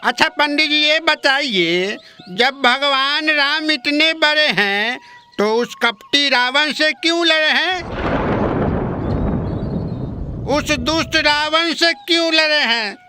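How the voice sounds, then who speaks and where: A man playing a stubborn village drunkard in a comic cartoon story, with slurred, drawn-out, insistently questioning speech. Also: very high voice, background noise. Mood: pestering, insistent.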